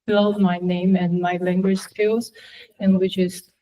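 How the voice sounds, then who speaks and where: Slow, hesitant young woman presenting. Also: medium pitch, flat delivery, dark tone, rough recording. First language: Chinese. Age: young adult. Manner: halting, hesitant, many fillers. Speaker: student presenting a portfolio. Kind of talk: class presentation.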